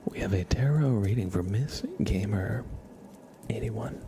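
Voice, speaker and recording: A man speaks very low and slowly as an ASMR streamer. His soft voice often drops into a whisper, with a gentle, encouraging manner. Clear ambient noise sits behind him. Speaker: ASMR livestream host.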